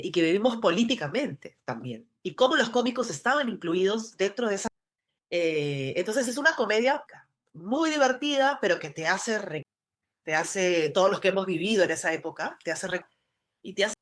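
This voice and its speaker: Rambling Peruvian actress from Lima. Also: female voice, medium pitch, very animated, normal speed. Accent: Lima Peruvian Spanish. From Peru. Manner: rambling, many restarts and fillers. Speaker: actress guest. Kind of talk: casual interview chat.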